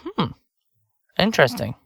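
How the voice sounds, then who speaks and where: A chirpy, remorseless woman who kills for her podcast. Her delivery is very animated and theatrical, sliding up and down with blithe self-regard. It is audio drama acting in a dry recording space. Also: low-pitched, a little slow, creaky, husky, dry recording, exaggerated voice. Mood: absorbed, detached. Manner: blithe, chatty, flippant, theatrical. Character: chirpy, self-absorbed female podcaster and remorseless serial killer. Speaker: suspect under interrogation.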